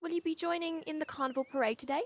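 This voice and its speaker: Woman acting an insistent, high-voiced village girl. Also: very fast, close to an everyday voice. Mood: cheerful. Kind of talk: audio play acting. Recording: amateur home recording with background noise.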